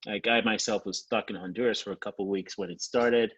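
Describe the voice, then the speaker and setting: A man with a Nigerian accent hosting a casual interview on a video call. He talks in a relaxed way and often repeats a word while he gathers his thoughts. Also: lowish voice, fairly quick, breathy edge, lo-fi sound. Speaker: podcast host.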